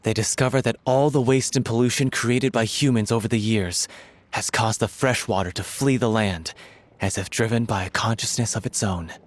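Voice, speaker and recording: A man's voice: lowish voice, moderate pace, lightly acted. A man voicing an enthusiastic theatre-troupe scriptwriter who recounts his story at length. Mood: narrating. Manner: storytelling, excitable. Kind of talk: game voice acting.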